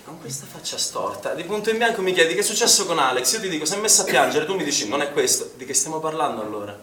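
A man's voice: a little high, lively intonation, fast, pushed and bright. An actor playing a defensive, irritable male swimming instructor in a naturalistic stage drama, agitated and blunt in manner. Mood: defensive, annoyed. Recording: echoey, distant stage recording with noise.

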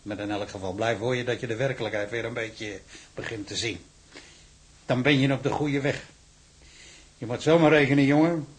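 A man's voice: mid-range voice, moderate pace, long pauses, lightly acted. An actor playing a plain-spoken, fatherly prison warder in a Dutch audio drama, homely, patient and encouraging. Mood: kindly, encouraging.

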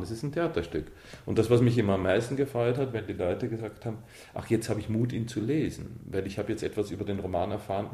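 A man's voice: low voice, dark tone. A man, talking reflectively and emphatically as an interviewed author. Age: 50s. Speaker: author and director being interviewed. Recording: roomy, reverberant interview sound.

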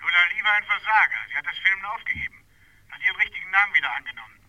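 An actor playing a brisk, businesslike male informant in a German radio crime drama, clipped and heard through a telephone. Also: high-pitched, lively intonation, pushed and bright, full character voice, poor audio. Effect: telephone filter.

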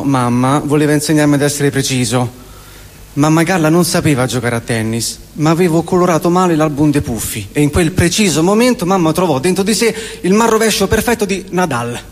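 Roman man's stage monologue in a reverberant hall. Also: on the high side. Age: 40s. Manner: emphatic repetitions and deliberate pauses. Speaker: stage performer. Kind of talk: theatrical comic monologue. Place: live theatre performance in a hall. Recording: long hall reverb of a live venue.

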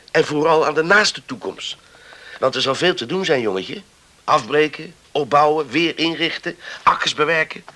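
An actor playing a brisk, practical young farm man in a radio drama, emphatic and clipped. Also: on the high side, bright, forceful tone. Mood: firm, urging. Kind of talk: Dutch radio drama acting.

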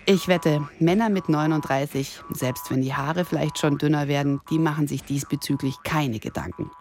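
A woman's voice: deep voice, normal speed, a little mellow, slight background noise. A woman of thirty-nine delivering an ironic, self-mocking scripted radio monologue in the first person. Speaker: radio columnist and presenter.

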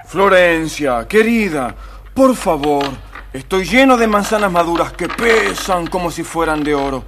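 A man's voice: a little high, expressive, measured pace, music in the background. A man voicing a burdened, coaxing apple tree that pleads wearily in a children's audio storybook. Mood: pleading.

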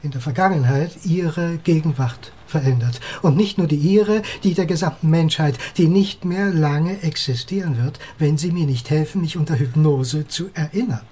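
A man's voice: a little high, moderate pace, hardly any pauses, dark tone, breathy edge. An actor playing a proud, impatient time-travelling male writer in a radio play, insistent and exasperated in manner. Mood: urgent, impatient. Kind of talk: German radio drama dialogue.

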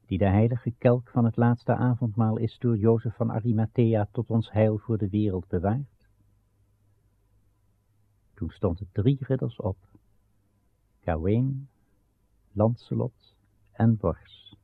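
A low-voiced man tells an old legend as a radio play narrator, slow and grave. His voice is husky with a gravelly edge. The recording is muffled and narrow. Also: no room sound. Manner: grave, measured, dramatic pauses. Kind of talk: solemn literary storytelling of Arthurian legend.

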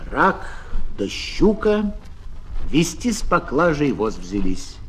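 A man giving a theatrical, expressive recitation of verse fables for children as a storyteller. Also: on the high side. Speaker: fable narrator, actor. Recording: noisy old flexi-disc record.

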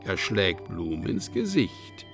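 Narrator for radio drama: slow, deliberate, very lively pitch movement, savouring each grotesque stage direction. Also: male voice, creaky, music in the background, full character voice. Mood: deliberate, relishing.

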